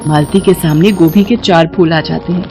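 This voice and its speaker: A woman's voice: lowish voice, fairly even intonation, normal speed, a little mellow. A woman storyteller reading a scripted moral tale, with music behind her.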